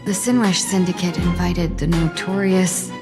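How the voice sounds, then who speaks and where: A woman voicing a gracious, tactful female envoy in a video game, polite, formal and measured. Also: lowish voice, fairly even intonation, natural voice. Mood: grave, confiding. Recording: music under the voice.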